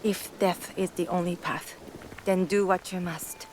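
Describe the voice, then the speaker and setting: An actress playing a calm, devout female shrine priestess in a game cutscene, measured and solemn. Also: fairly low pitch, a little hiss or hum, close to an everyday voice. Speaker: game character. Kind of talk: video game cutscene acting. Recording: game audio with music.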